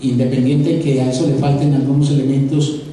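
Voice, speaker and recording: A man's voice: medium pitch, little pitch movement, a little fast, warm tone. A man with a Paisa accent from Antioquia, giving a live lecture as a professor, talking conversationally to his audience and often repeating words while he thinks. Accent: Paisa (Antioquian Spanish). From Antioquia, Colombia. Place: auditorium. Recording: echoey auditorium from far off, faint noise.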